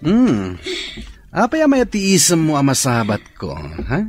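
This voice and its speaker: An actor playing a sly, plotting ferry crook in a radio drama. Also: male voice, fairly high pitch, huge pitch swings, measured pace, soft background music.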